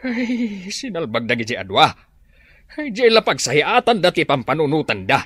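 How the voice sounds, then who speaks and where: A cranky old dad, husky voice, swings up and down a lot. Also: male voice, a little high, sing-song, moderate pace, fairly bright tone, no echo, exaggerated voice. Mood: exasperated. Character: worried older farming father, stern. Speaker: father. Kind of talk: radio drama acting.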